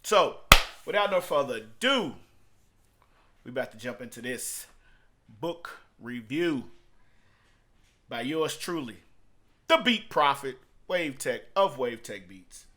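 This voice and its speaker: A man with a mid-pitched voice talks casually to his viewers, like an independent producer hosting his own video. His delivery is unscripted and halting, with repeated words for emphasis. It moves between subdued stretches and hyped, louder pushes. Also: animated. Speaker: music producer and entrepreneur hosting a video book review. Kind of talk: casual unscripted vlog monologue.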